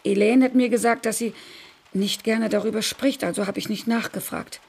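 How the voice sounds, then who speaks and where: A woman's voice: mid-range voice, fairly even intonation, moderate pace, gravelly, a little mellow, husky. An actress playing a gossipy, homely female companion who chats and fusses solicitously in a radio drama. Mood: hushed concern. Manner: chatty, fussing.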